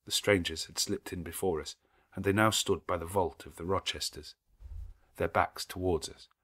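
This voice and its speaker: Man, lowish voice, audiobook narrator, measured, dramatic, literary storytelling. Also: a bit bright.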